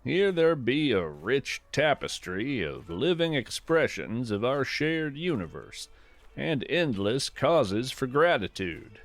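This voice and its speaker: A man narrates a nature podcast in a contemplative, scripted style. He speaks slowly with a lively, varied pitch and a gently wry manner. Faint fiddle music plays behind him.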